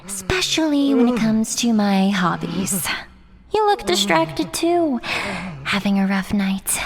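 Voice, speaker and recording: A woman's voice: mid-range voice, sing-song, takes it slowly, crowd noise. A woman playing a sadistic young heavy-metal tormentor in a horror audio drama, taunting her captive with mock sweetness and foul language. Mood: mock-sweet, teasing.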